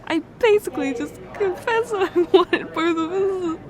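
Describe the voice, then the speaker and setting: A young woman performing an emotional roleplay confession, halting and tearful, with many sighs and restarts. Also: very high-pitched, a little mellow. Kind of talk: emotional ASMR fiancée roleplay monologue.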